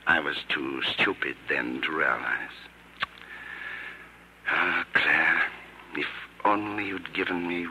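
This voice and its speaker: Deep bright voiced older guy, earnest romantic, old radio play with a bit of hiss. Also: steady tone, slow, pauses often, heavily acted, rough recording. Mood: tender, regretful. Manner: earnest, romantic, tender delivery. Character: devoted, gentle older man, long-lost sweetheart. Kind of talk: 1950s radio drama acting. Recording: old radio broadcast, light noise.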